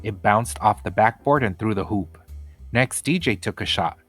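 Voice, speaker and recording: A man's voice: lowish voice, normal speed, a bit bright, husky, no room sound, faint music behind. A man reading a children's book chapter aloud as scripted storybook narration.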